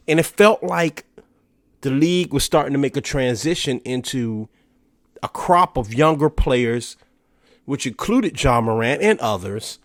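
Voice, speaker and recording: A man's voice: medium pitch, dry recording. Guy with a stuffy nose doing a solo podcast rant, dry close sound, lots of you knows. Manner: conversational, filler-heavy with "you know" and restarts. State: stuffy, nasal from allergies. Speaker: podcast host. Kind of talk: casual solo commentary.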